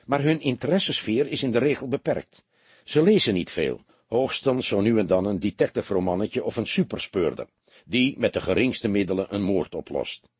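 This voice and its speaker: Audiobook detective, middle-aged male narrator acting him: low, very husky, understated, weary and shrewd. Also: lively intonation, dry recording. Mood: wry, confident. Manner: quiet, measured, understated. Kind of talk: audiobook character dialogue.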